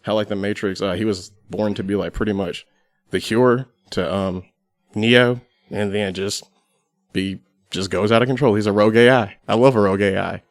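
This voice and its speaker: A man chatting casually as a podcast guest, piecing his thoughts together with pauses. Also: lowish voice, steady tone, dry recording, studio quality. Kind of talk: casual panel discussion. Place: podcast studio.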